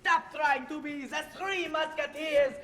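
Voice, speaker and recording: Soldier role: man with very high, bright, projecting voice, slow declamatory delivery, period stage play. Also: steady tone, full character voice. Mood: urgent. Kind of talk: theatrical stage acting.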